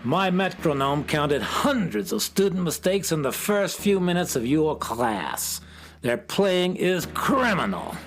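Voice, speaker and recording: A stern, self-important inspector of the music police, performed by a man for a children's radio play. He pronounces rules severely and officiously, with a highly animated pitch. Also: measured pace, a little hiss or hum. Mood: stern, scornful. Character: pompous, stern male music-police inspector from another planet.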